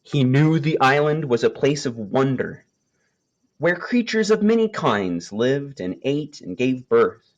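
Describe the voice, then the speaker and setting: Man reading a storybook with lively intonation. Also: medium pitch, measured pace, dull audio. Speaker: storybook reader. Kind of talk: read-aloud children's nature story.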